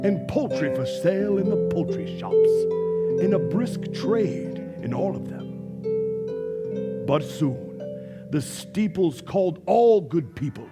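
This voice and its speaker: A man's voice: high voice, sing-song, takes it slowly, heavily acted. An actor playing a grand storybook narrator in a stage radio play, savouring his words in lilting, theatrical narration. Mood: festive, relishing. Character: grand, relishing storybook narrator of Christmas tale. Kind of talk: scripted dramatic narration. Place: theatre stage before live audience. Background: plucked guitar music.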